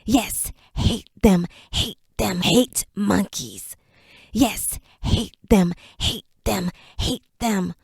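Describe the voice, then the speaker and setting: A woman voicing a pack of cruel, non-human creatures that chant slowly and cackle in half-whispered taunts. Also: fairly high pitch, lively intonation, breathy edge, no room sound, full character voice. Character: cruel mocking dark creatures of a forest realm.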